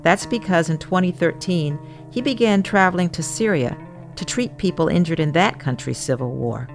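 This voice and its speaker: A woman hosting a news podcast, narrating in a measured, explanatory way. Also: fairly low pitch, animated, soft background music. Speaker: guest podcast host.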